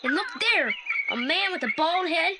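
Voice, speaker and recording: An actor playing an anxious, excitable teenage boy sidekick in an audio drama, plain-spoken and given to breathless exclamations. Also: very high-pitched, moderate pace, heavy background noise. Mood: frightened.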